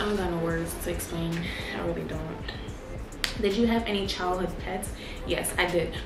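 A young woman from Haiti and Harlem, chatting loosely on camera with lots of slang and fillers. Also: lowish voice. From Haiti, then Harlem, New York. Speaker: YouTuber. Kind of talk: casual get-to-know-me chat. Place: living room, seated on a sofa. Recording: echoey room with loud music behind.

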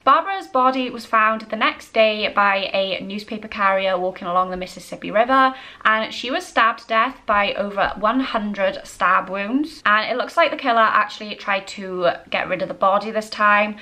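A woman's voice: medium pitch, moderate pace, pushed and bright. A woman in her twenties hosting a true-crime vlog, telling the story to camera in a conversational, matter-of-fact way. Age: 20s. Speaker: host. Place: small indoor home room.

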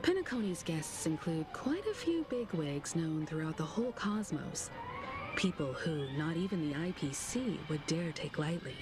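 An actress playing a composed, analytical woman in a video game, speaking in a deliberate, articulate and cautious way. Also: deep voice, sing-song. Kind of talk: video game voice acting, measured dialogue. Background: game background music.

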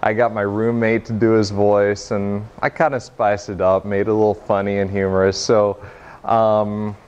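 A young man giving an informal technical demo to a room, speaking haltingly with frequent pauses. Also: lowish voice, little pitch movement, a little mellow, smooth. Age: young adult. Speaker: presenter giving a software demo. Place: meeting room, standing by projection screen. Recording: noisy, muffled room recording.

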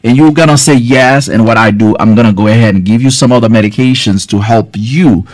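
A man's voice: medium pitch, fairly quick, a little mellow, no echo. A man in his forties from Haiti, a health care provider giving casual, direct advice to viewers on a live stream from a small office. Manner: earnest, direct, advising viewers, loose filler words. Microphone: studio mic on boom arm, front camera close-up.

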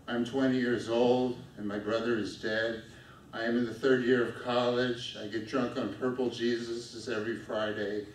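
A middle-aged man reading his own poem aloud at a lectern on a small dark stage, in a steady, measured way. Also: mid-range voice. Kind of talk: poetry reading. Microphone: stand mic at a lectern, filmed from far off. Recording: echoey room from far off, faint noise.

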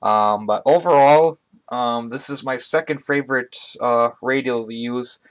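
A man giving a casual, conversational product review as a hobbyist, speaking haltingly off camera into a webcam at home. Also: mid-range voice. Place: indoors, small room at home. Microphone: webcam on a tripod, speaker off camera.

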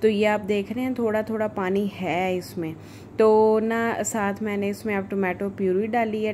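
Even-toned woman in a noisy recipe voice-over. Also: medium pitch. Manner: informal, chatty, homely asides. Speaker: home cook, cooking channel host. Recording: voice-over with clear background noise.

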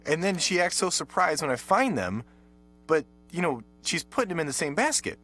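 A man voicing a naive, bewildered pet dog in a conversational advert, with halting asides. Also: fairly high pitch, sing-song, fairly bright tone, full character voice. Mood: bemused. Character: naive, puzzled pet beagle, new to the household. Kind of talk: advert character monologue, conversational.

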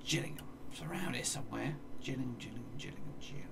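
English streamer, 30s, gravelly fry, mic set back with noise behind, self-muttering casual game commentary. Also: male voice, mid-range voice, racing, far from the mic. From England. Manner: mutters place names to himself, swears playfully. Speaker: gaming streamer. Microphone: desk mic, seen in webcam corner.

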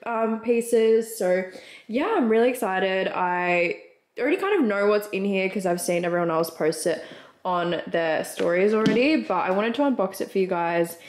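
A woman's voice: mid-range voice, moderate pace, darkish tone. A woman in her twenties with an Australian accent, chatting casually and gushingly in a vlog style. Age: 20s. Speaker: vlogger. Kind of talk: casual vlog chat, unboxing.

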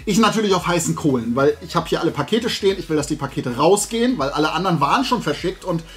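A man in his forties telling an anecdote casually to camera, animated and with sarcastic asides. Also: sits high. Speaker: host of a talk and toy channel. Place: small room at home with shelves of collectibles.

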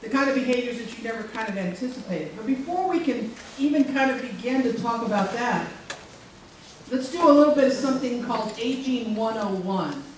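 A middle-aged woman with a strong, loud voice gives a chatty, joking talk with many fillers to a conference audience. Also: fairly high pitch, animated, fairly quick. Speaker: speaker. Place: civic center meeting room. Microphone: handheld mic, far camera. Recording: echoey hall from far off, noisy.

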